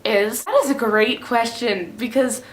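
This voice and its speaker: A young woman from France, chatting casually to camera at home in a bubbly, talkative way. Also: fairly high pitch. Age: young adult. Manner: bubbly, chatty, quick bursts of excitement. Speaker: vlogger.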